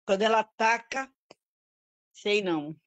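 A middle-aged woman on an audio-only video call at home, talking in a halting, worried, rambling way. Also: mid-range voice. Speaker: group participant. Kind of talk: casual chat on a video call.

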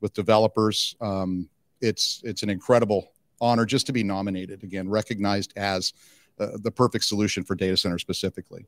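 A man's voice: lowish voice, little pitch movement, a little fast, no room sound. A middle-aged man speaking conversationally as an executive being interviewed, close on a handheld microphone. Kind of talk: conversational trade-show interview. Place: indoor interview booth at a conference.

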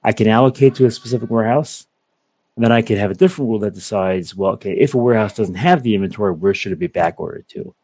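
A young man giving a methodical, step-by-step software walkthrough over a video call. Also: on the low side, quick pace, dry recording. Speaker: software product presenter. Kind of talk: screen-share software walkthrough.

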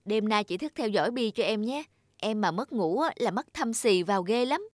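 A woman's voice: medium pitch, animated, moderate pace, no room sound, studio quality, lightly acted. A woman voicing a vain young mother in a cartoon, breezy and easily defensive. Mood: self-absorbed. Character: vain young mother, self-absorbed, quick to bristle. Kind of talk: animated cartoon dialogue. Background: music.

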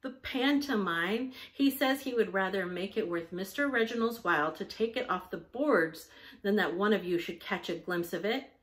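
A woman's voice: medium pitch, moderate pace, natural voice. A woman voicing an exasperated young stepmother of twenty, scolding and fretful.

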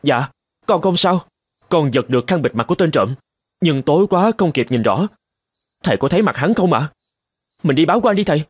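A man's voice: on the high side, animated, brisk, long pauses, slightly rough, dry recording. A man playing a polite, earnest young novice monk in a cartoon, deferential and easily upset. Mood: agitated. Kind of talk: dramatic cartoon voice acting.

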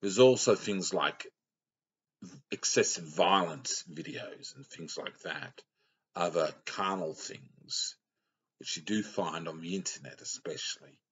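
Deep husky middle-aged man, webcam sermon. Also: measured pace. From Australia. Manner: rambling, self-correcting, plainspoken. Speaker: lay preacher. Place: small room indoors. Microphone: webcam close up. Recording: dull lo-fi webcam audio.

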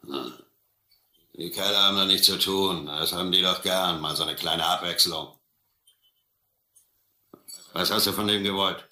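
Low, forceful, surly boat boss. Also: male voice, measured pace, long pauses, roomy sound, heavily acted. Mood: drunken accusing. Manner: gruff, needling, accusing. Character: surly, bullying, hard-drinking boat boss. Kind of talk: German radio play acting.

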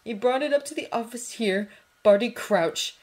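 A woman reading a story voices a cold, stern male professor with a low, chilly delivery. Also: normal speed, darkish tone, lightly acted.

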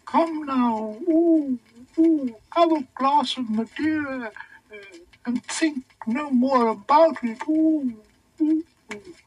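A man's voice: extremely high pitch, slow, muffled recording, voice pushed up. A man voicing a fussy, flustered old animal committee member who coos and prattles in a storybook reading. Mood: soothing, fluttery. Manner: flustered, cooing interjections, prattling.

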